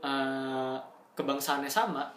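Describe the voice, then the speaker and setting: A man's voice: mid-range voice, steady tone, takes it slowly, a bit bright, echoey room, soft background music. A young man co-hosting a casual chat, explaining ideas through everyday examples in slangy talk and laughing often.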